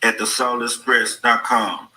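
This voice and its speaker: A male musician giving a short shout-out for a soul radio station. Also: medium pitch, little pitch movement, measured pace.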